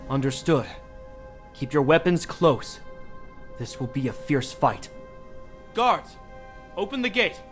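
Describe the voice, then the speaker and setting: A man's voice: fairly high pitch, very animated, takes it slowly, pauses often, faint music behind. A man voice-acting a dutiful, formal captain of the guard in a video game. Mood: commanding. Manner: formal, commanding.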